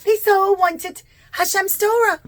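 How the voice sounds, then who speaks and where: Lady doing a bible prophet for a puppet show, super earnest and urgent, high voice, exclaims everything. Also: fairly even intonation, takes it slowly. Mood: shocked, puzzled. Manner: exclamatory, emphatic questioning. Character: earnest male Biblical prophet, astonished and urgent. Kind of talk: children's puppet show acting.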